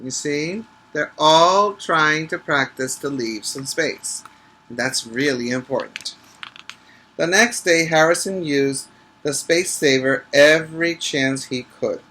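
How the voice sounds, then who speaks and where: A man reads a children's story aloud as a preschool teacher would. His delivery is very slow and deliberate, with a bright, forward tone and a slightly raised pitch. He steps out of the text to explain things simply and check in with the children. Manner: instructive, checks in with short questions to young listeners. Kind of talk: read-aloud of a children's picture book with explanatory asides.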